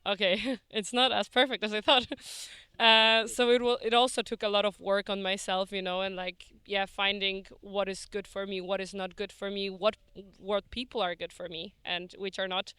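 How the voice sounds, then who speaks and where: A woman's voice: mid-range voice, little pitch movement, normal speed, bright, forceful tone, smooth, no echo. A young woman from Poland, chatting casually in a street interview with frequent laughs and fillers.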